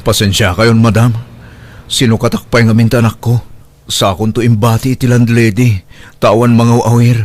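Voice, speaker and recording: A man's voice: mid-range voice, little pitch movement, normal speed, natural voice. A man with an Ilocano accent playing a humble, anxious young single father in a radio drama, hesitant and earnest. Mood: sheepish, sad.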